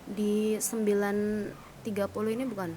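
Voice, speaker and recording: A woman chatting casually and excitedly as she plays a game with friends, giggly and full of slang. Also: medium pitch, moderate pace. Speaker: streamer and roleplay gamer. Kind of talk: casual gameplay chat with friends. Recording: noisy game voice chat.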